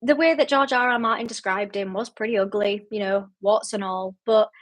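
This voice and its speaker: A woman's voice: medium pitch, fairly quick. A woman hosting an online book club, chatting casually and reading out viewer comments with lots of laughs and fillers, sounding tired late in the evening. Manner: chatty and giggly, with frequent fillers.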